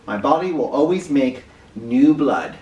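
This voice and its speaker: A man hosting an educational read-aloud for children, speaking directly to them with clear emphasis and questions. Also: mid-range voice, lively intonation, a little slow, darkish tone, muffled recording. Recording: roomy, distant mic with faint noise.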